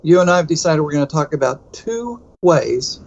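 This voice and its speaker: A man's voice: on the high side, lively intonation, normal speed, highs cut off. A middle-aged man with a Texan accent, co-hosting a podcast in a casual, rambling way that jokes at his own expense. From United States. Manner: rambling, self-deprecating, restarts often. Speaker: podcast co-host.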